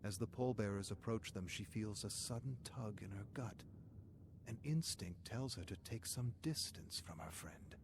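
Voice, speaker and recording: Low-voiced male narrator over loud background noise. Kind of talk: dramatic scripted story narration.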